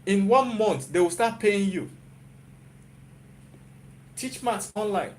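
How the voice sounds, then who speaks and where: A man's voice: sits high, lively intonation, takes it slowly, a little hiss or hum. A man with a Nigerian accent, a YouTuber talking to viewers in a live stream, urging them on and checking in with them again and again. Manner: motivational, repetitive, checks in with "you get?". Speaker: YouTuber and software developer. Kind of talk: live-stream pep talk.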